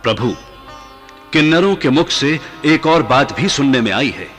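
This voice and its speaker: A man's voice: medium pitch, expressive, a little slow, a bit bright, soft background music. An actor playing a reverent, anxious mountain king and father in a Hindi mythological radio play, formal and deferential. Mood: worried, doubting.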